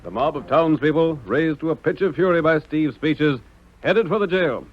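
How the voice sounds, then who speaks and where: A man's voice: fairly high pitch, animated, normal speed, breathy edge, faint music behind. A man acting as the formal, theatrical narrator of an old-time western radio adventure, in scripted dramatic style. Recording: dull vintage radio recording.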